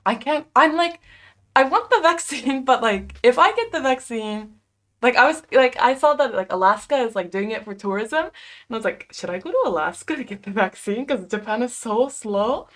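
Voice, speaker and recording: Chatty young woman streamer, higher voice. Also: expressive, normal speed, a bit bright. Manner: chatty, lots of "like" fillers, frequent laughs. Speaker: livestream host. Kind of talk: casual chat reading viewer comments. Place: small bedroom at home.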